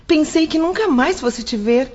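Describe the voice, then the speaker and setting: An actress playing a caring older sister who works at a hotel, speaking tenderly and reassuringly in an audio drama. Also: female voice, sits high, expressive, normal speed, heavy background noise. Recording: lo-fi with long reverb.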